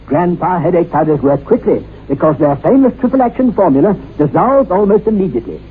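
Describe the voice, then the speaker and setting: A man's voice: high-pitched, sing-song, fairly quick, husky, phone-quality sound. A man announcing in a vintage radio advert style, with an emphatic, declarative sales delivery. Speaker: commercial announcer. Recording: thin old radio advert.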